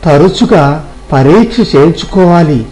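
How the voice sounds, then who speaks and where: A man's voice: a little high, expressive, measured pace, dark tone, low-quality recording. A man playing a concerned village doctor who speaks carefully and instructively in an acted moral story. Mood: reassuring, cautioning.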